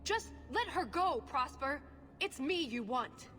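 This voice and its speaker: An actress playing an earnest, guarded female spy in a video game, thoughtful and measured, with dry wit. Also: high voice, animated, sharp tone, exaggerated voice. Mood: dry, resolute.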